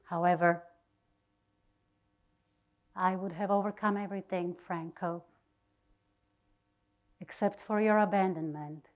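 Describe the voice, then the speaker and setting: Low-pitched woman giving a dramatic reading. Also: steady tone, slow pace, long pauses. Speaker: reader at a literary event.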